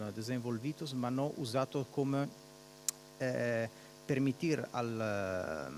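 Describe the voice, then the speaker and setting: An Italian man presenting research at a conference in a second language. He speaks haltingly, often searching for words, and slips Portuguese words in. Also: medium pitch, slight background noise. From Italy.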